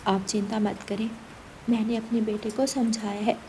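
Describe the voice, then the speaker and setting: A woman voicing a gentle, devoted mother pigeon, speaking softly and earnestly in a Hindi cartoon story. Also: mid-range voice, flat delivery, moderate pace, a little hiss or hum, natural voice, low-quality recording. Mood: tender, determined. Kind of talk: Hindi animated moral story.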